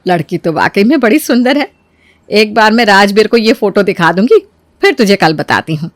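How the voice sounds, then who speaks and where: A woman's voice: mid-range voice, lively intonation, normal speed, no echo, studio quality, close to an everyday voice. Woman acting homely elderly mother in a family tale. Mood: approving, persuading. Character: elderly widowed mother, homely, fond, plain-spoken. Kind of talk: acted dialogue in a Hindi moral story.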